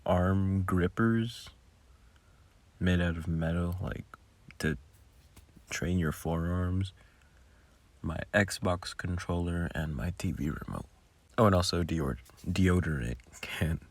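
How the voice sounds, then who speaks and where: A man's voice: sits low, takes it slowly, pauses often. A man who makes audio roleplays, giving casual, rambling behind-the-scenes commentary with muttered asides.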